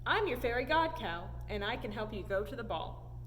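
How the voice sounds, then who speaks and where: A kindly fairy godmother cow from a children's storybook, acted out by a woman. She talks in a folksy, encouraging way with lively swings. The sound rings in a big room. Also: a little high, moderate pace. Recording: echoey hall, audible background noise.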